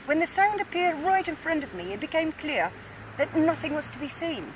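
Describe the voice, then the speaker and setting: A woman with a Dutch accent reading aloud from her own book in an earnest, measured way, outdoors in a snowy field. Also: high voice, very animated. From Netherlands. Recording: distant mic, outdoor noise.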